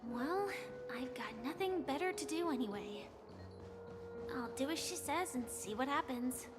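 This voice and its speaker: Game lead: a deadpan young woman, very high and unhurried, music under her lines. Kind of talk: video game voice acting.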